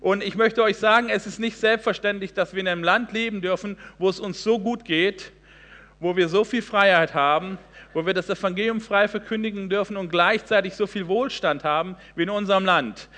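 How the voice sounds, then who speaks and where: A man in his forties with a high, bright voice preaches a sermon. He speaks earnestly and emphatically, pausing on fillers and restarting sentences. The sound carries a long hall reverb. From Hesse, Germany. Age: 40s. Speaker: guest preacher.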